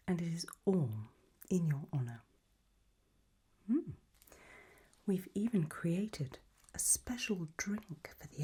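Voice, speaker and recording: Woman doing a slow whispery gothic lady, doting and macabre, gracious hostess asmr roleplay. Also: fairly low pitch, exaggerated voice. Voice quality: soft, breathy whisper.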